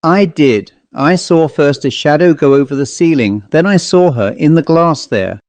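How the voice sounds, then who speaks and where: A man's voice: fairly high pitch, normal speed, darkish tone, dry recording, voice pushed up, lightly acted. A man voicing an earnest, anxious country woman, an aunt in a storybook, hesitant yet insistent.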